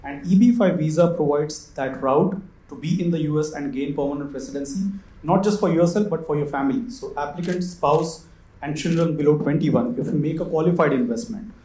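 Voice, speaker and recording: A man's voice: a little high, brisk, mellow. A man explaining things as a specialist panelist at a conference. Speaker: panelist, investment visa specialist. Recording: echoey room sound.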